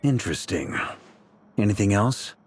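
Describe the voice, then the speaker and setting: A man's voice: fairly low pitch, very animated, normal speed, darkish tone, soft background music. A man voicing a shrewd male council leader in a video game, wry and probing.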